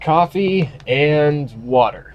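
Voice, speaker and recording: An actor playing a skeptical, guarded park ranger in an audio drama, plain-spoken and matter-of-fact. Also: male voice, on the high side, animated, drawn-out words, muffled recording. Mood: guarded, dry. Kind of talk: naturalistic audio drama acting.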